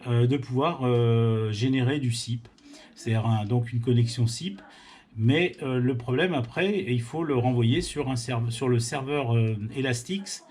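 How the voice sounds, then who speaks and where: A man's voice: medium pitch, a little slow, a little mellow, breathy edge. A man from France hosting an informal amateur radio tutorial, explaining technical things hesitantly and often repeating himself.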